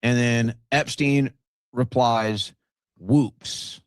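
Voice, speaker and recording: A man's voice: mid-range voice, steady tone, very slow, no room sound. Dry-sounding man reading documents aloud. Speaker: show host.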